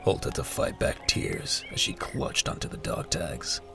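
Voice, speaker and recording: Male narrator for military audio drama. Bottomless low pitch, thick vocal fry, scripted dramatic storytelling. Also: very animated, a little slow, music in the background.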